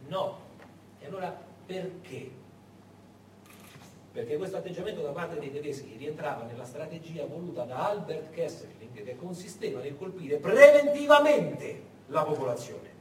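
Animated high-voiced male theatrical storyteller. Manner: dramatic, rhetorical questions, emphatic repetition. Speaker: stage actor and storyteller. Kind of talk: theatrical narrative monologue. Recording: echoey distant theatre recording, faint noise.

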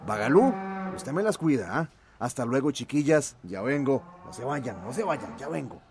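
A man's voice: mid-range voice, sing-song, moderate pace. A man playing an affectionate, weary farmer in a radio drama, doting and grumbling as he talks. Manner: doting, grumbling, sighing to himself. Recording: clearly noisy farm soundscape with cattle.

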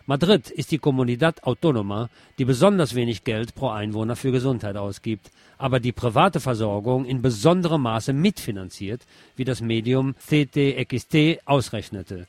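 A man's voice: medium pitch, expressive, normal speed, darkish tone, no room sound. A man hosting a program, reading press articles aloud and adding brief comments of his own.

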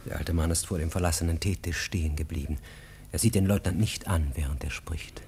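A man reading literary narration for a radio drama in a measured, deliberate, sombre way. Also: sits low, darkish tone, slight background noise.

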